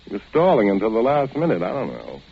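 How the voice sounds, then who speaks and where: A man's voice: lowish voice, wildly swooping pitch, fast, vocal fry, husky, exaggerated voice. An American actor playing a bitter, scheming husband who tells his crime story in a clipped 1940s radio drama style. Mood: cynical confessing. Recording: muffled old radio broadcast, loud music behind.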